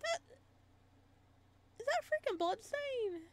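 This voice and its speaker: A woman doing a let's play, reading story text aloud and adding expressive, chatty reactions in a casual style. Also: extremely high pitch, slow pace, no room sound. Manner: expressive, chatty asides between read passages.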